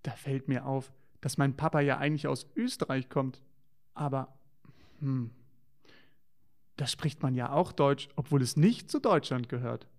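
A man's voice: mid-range voice, unhurried, long pauses, a little mellow. A man co-hosting a children's show, talking in a playful, wondering way to children in a scripted dialogue.

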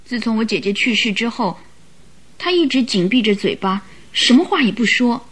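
A woman's voice: a little high, animated, moderate pace, fairly bright tone, breathy edge. An actress in a radio drama playing an earnest, troubled young woman who gives careful, emotive accounts. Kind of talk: old Chinese radio drama acting.

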